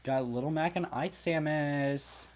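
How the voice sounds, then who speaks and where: A man's voice: fairly high pitch, measured pace. Stuffy-nosed man rambling through commentary. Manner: rambling, full of ums and restarts. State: stuffy, congested nose. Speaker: streamer commentating a game tournament. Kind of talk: casual play-by-play commentary.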